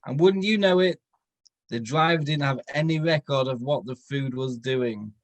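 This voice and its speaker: A man's voice: medium pitch, moderate pace. A man reading a comic story aloud in a theatrical manner, now and then correcting his own slips. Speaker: comedy performer reading fan fiction. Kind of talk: dramatic comedic story read-aloud.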